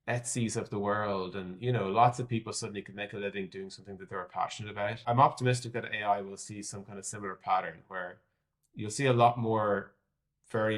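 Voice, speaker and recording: Low-pitched Irish man thinking aloud. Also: fairly bright tone. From Ireland. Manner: candid, thinking aloud, hedging his predictions. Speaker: tech executive, podcast guest. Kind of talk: conversational interview answers.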